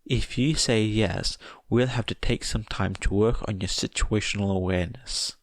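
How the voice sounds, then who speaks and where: A man's voice: fairly low pitch, moderate pace, no room sound, studio quality, lightly acted. A man voicing a confident woman teacher, firm and teasing, in an audiobook.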